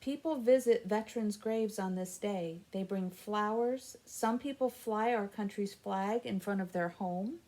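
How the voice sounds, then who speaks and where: American woman, mid-pitched, unhurried children's library host, simple and patient explainer. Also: mellow. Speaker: library story time host. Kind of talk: children's story time, reading and explaining simply.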